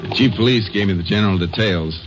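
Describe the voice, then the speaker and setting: An actor playing a calm Texas Ranger in a 1950s radio crime drama, with a clipped, matter-of-fact American delivery. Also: male voice, on the low side, fast, over music, close to an everyday voice. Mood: businesslike, probing. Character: calm, methodical male Texas Ranger investigator and narrator. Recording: muffled, noisy old radio recording.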